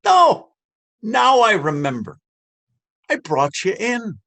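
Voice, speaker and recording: A man's voice: high-pitched, wildly swooping pitch, takes it slowly, gravelly, bright, forceful tone, exaggerated voice. An actor playing a bullying, sceptical Dublin policeman in a stage reading, mocking and officious. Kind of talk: stage readers theater acting.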